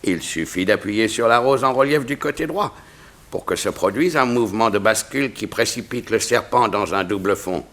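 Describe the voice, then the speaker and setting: An actor playing a dignified royal chamberlain in a 1960s French radio drama, speaking formally and precisely. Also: male voice, fairly low pitch, normal speed, fairly bright tone. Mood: precise, earnest.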